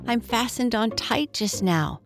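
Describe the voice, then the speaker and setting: A woman's voice: on the high side, expressive, unhurried, music in the background, pitched above the performer's own voice. A woman voicing an eager, chattering toy monkey in a children's storybook. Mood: chattering, helpful.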